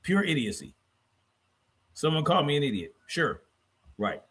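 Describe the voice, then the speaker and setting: A man's voice: on the high side, sing-song, moderate pace, fairly bright tone, slightly rough. A man hosting a livestream in a combative, blunt, profane way, repeating phrases for emphasis as he reacts to clips and chat. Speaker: livestream host.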